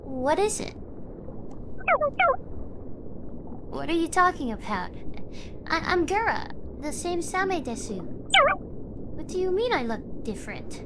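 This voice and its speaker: A woman's voice: extremely high pitch, big pitch swings, normal speed, noisy background, exaggerated voice. A woman voicing a young shark girl idol, bitter and wounded, in a theatrical, dramatic drama delivery. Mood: confused, pleading.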